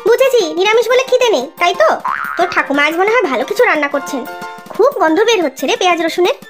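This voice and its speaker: Brisk, high-voiced chiding mother. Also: expressive, faint music behind. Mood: coaxing. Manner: coaxing, complaining. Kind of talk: Bengali children's cartoon acting.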